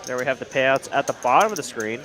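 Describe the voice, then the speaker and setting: A man's voice: mid-range voice, expressive, fast talker, a bit bright. A young man hosting a live poker stream, upbeat, thanking viewers and reading the chat aloud. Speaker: livestream host and poker commentator.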